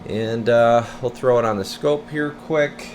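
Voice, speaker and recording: A man's voice: mid-range voice, a little slow, darkish tone, slight background noise. A man giving a casual, rambling hands-on demonstration as a radio repair technician, explaining things informally as he works at his bench. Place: indoor radio repair workbench.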